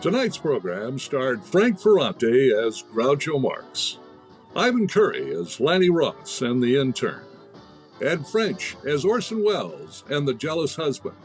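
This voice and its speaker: A man reads closing credits as an old-time radio announcer. His mid-pitched voice moves in an animated, varied line, with soft music playing behind it. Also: a little slow. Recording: music under the voice.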